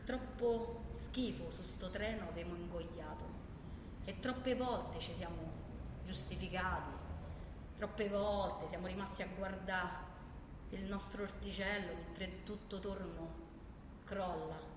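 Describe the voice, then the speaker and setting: An actress playing a defiant, impassioned protester in a theatrical monologue, declaiming insistently in a Roman Italian accent with emphatic repetition. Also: female voice, fairly low pitch, takes it slowly. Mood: solemn, resolute. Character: defiant, impassioned woman protester ranting on a train. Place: large reverberant room, far from microphone. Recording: distant, echoey hall with background noise.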